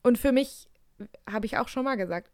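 A woman's voice: on the high side, normal speed, a little mellow, dry recording, studio quality. A young woman co-hosting a podcast, talking casually and thinking aloud with fillers and restarts. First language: German. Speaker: podcast co-host. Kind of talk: casual chat.

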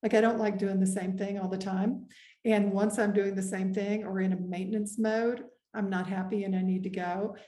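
A middle-aged woman, a guest executive, reflecting earnestly and measuredly on her career in an interview, pausing often. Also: mid-range voice, little pitch movement, warm tone. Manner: earnest, measured, with frequent pauses and "um". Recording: echoey room.

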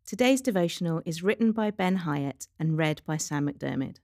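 Low-voiced woman reading a devotional, mellow tone. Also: expressive, moderate pace, creaky, dry recording, clean studio sound. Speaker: devotional reader. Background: faint music.

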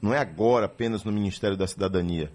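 Radio host asking questions. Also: male voice, lowish voice, very fast, a little mellow. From Bahia, Brazil. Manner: friendly, introduces guest in announcer phrasing.

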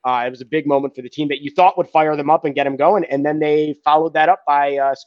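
A man co-hosting a sports podcast, recapping games in a rambling way with many fillers and quiet trailing endings. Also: a little high, fairly even intonation, quick pace, no room sound, dull audio. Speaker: podcast co-host.

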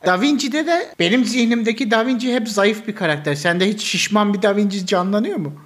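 A man's voice: sits high, fairly quick. A young man co-hosting a podcast in casual, slangy, joking chat. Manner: jokey, slangy. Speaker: podcast co-host.

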